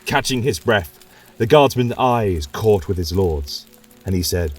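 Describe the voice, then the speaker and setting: A man giving measured, dramatic narration of a fantasy story. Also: on the low side, lively intonation. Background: faint music.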